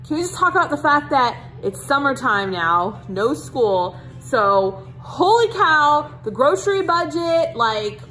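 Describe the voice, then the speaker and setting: A woman's voice: sits high, big pitch swings, a little slow, hardly any pauses, bright, forceful tone, low-quality recording. A woman in her thirties talking casually to her phone camera while cooking in her home kitchen, upbeat and chatty. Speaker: family vlogger. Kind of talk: casual cook-with-me vlog. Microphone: handheld phone camera at arm's length.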